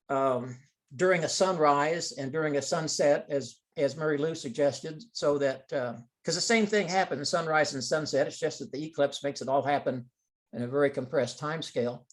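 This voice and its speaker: Higher-voiced man explaining technical data. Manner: explanatory, step by step. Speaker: presenter of experimental data. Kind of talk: informal technical presentation. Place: online teleconference call.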